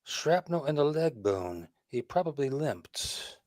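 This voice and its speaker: A man's voice: on the high side, big pitch swings, slow pace, darkish tone, no room sound, studio quality. A man playing a witty, playful detective and teasing husband in a vintage-style radio play, with wry theatrical delivery. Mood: inquisitive.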